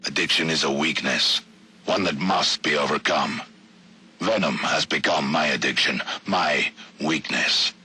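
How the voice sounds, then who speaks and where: Huge brooding bad guy, crazy deep and raspy, solemn and formal, video game audio log. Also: a little slow, bright, forceful tone, full character voice. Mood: brooding, grave. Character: menacing, brooding villain strongman battling his addiction.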